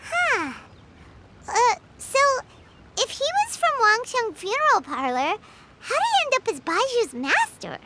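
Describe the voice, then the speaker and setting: A woman voicing a tiny, chirpy, childlike fairy sidekick in a video game, curious and excitable, with a squeaky voice. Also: a little slow, heavy background noise, exaggerated voice. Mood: surprised, chatty. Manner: speaks of self in third person, exclaims. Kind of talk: video game character dialogue. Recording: loud game music behind.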